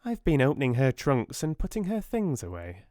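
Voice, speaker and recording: A man's voice: mid-range voice, wildly swooping pitch, normal speed, a little mellow, no echo, clean studio sound, voice pushed up. A man voicing a timid, good-natured, flustered woman in a storybook, with a fussy, astonished manner.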